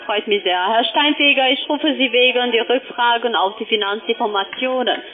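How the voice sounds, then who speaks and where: A woman who is a non-native speaker, making a sales pitch as a call centre agent. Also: normal speed. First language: not German. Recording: narrow, tinny phone line.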